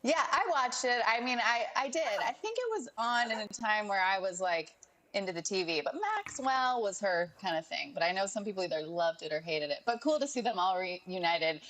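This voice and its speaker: A woman's voice: medium pitch, expressive, normal speed, a bit bright, a little hiss or hum. A woman presenting a conversational entertainment segment on television.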